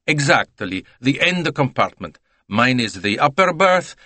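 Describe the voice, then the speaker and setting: A man putting on a garrulous salesman, his voice pitched well above the reader's own and bouncing with excitable chatter. He speaks, full of broken phrases and scorn, in a dry, close recording. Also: measured pace, no echo, heavily acted. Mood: chatty. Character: chatty Italian male salesman, talkative, scornful.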